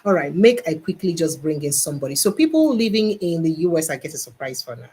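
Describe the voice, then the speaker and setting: A woman with a Nigerian accent and a husky voice, hosting a casual interview in English and Pidgin with a playful, teasing manner. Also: low voice, sing-song, fast, a little mellow. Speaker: host.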